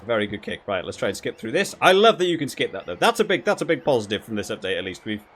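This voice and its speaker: A man's voice: lowish voice, sing-song, fairly bright tone. Man thinking aloud over gameplay, background noise. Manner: thinking aloud, critical, halting with repeats. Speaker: gaming video creator reviewing while playing. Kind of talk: casual gameplay commentary.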